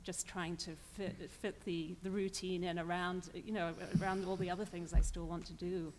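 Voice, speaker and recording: Flat-toned woman, matter-of-fact panelist. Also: fairly low pitch, moderate pace, slight background noise. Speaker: patient panelist. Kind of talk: conference panel discussion. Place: conference hall stage panel. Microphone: stand microphone.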